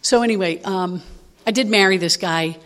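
A woman in her sixties speaks candidly to a recovery meeting, telling her own story. Her delivery rambles, full of fillers, restarts and asides, with a voice at an ordinary middle pitch for a woman. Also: normal speed, a bit bright. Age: 60s. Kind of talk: personal testimony to an audience.

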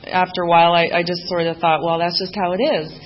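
A woman's voice: on the low side, little pitch movement, fast, muffled recording. Candid woman giving recovery testimony. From Mexico. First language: Spanish. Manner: candid, self-deprecating humor with many fillers. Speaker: main speaker at a recovery meeting. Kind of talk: personal testimony to a group.

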